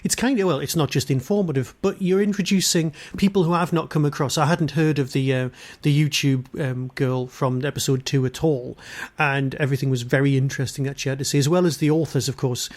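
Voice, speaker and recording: A man's voice: mid-range voice, fairly even intonation, fairly quick. A man hosting a podcast interview in a casual, jokey, tongue-in-cheek way.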